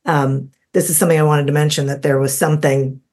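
A woman's voice: sits low, steady tone, fast talker, a little mellow. A woman hosting a business podcast, asking rambling questions in a friendly way and reading a scripted outro. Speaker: podcast host.

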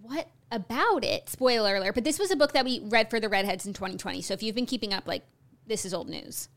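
A woman co-hosting a podcast, chatty and teasing, reacting quickly in casual conversation. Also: on the high side, fairly bright tone. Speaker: podcast co-host. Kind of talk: casual chat.

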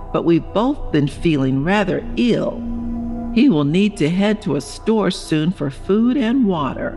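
A woman playing an elderly wife in a horror audio story, reading her worried, genteel journal in a measured, wistful manner. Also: on the low side, heavily acted. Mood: wistful, weary.

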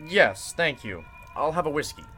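A man's voice: on the high side, very animated, moderate pace, sharp tone, soft background music. A man playing an affable, courteous district attorney in a radio drama, chatty and curious. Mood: curious, friendly. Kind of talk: golden-age radio drama acting.

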